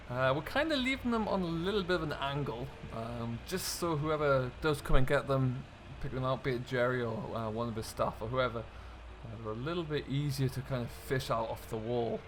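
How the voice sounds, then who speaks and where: A man's voice: medium pitch, moderate pace, few pauses, fairly bright tone, a little hiss or hum. A man giving a chatty, easygoing running commentary to viewers as he plays a farming game. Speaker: host, gaming video creator. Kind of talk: casual let's-play commentary of a farming simulator.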